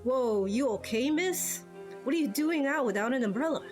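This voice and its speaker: A woman's voice: high-pitched, animated, moderate pace, darkish tone. A woman voicing a kindly, practical male town guard in a game. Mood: concerned. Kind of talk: amateur game character voice acting. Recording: game music behind.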